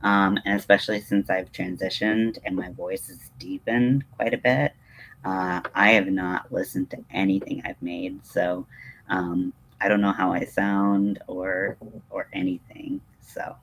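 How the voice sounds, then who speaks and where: A man with a low-pitched voice chats casually as a livestream host. He takes his time, hesitating often and drifting into tangents in a self-deprecating way. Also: steady tone, a little slow, fairly bright tone, low-quality recording. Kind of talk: casual rambling livestream chat.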